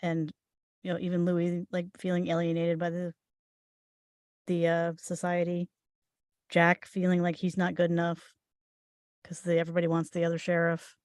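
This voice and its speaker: A woman's voice: lowish voice, monotone, moderate pace, long pauses, darkish tone, dry recording, clean studio sound. A woman discussing a script at a table read, thinking aloud and building her ideas as she goes.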